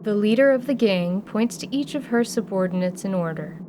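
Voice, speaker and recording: A woman's voice: medium pitch, animated, normal speed, background noise. A woman reading scripted narration for a fantasy radio drama.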